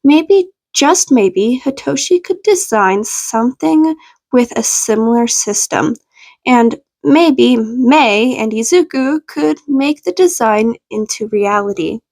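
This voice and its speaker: A woman's voice: fairly high pitch, animated, slow pace, darkish tone. A woman narrating a story from a script. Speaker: podfic narrator.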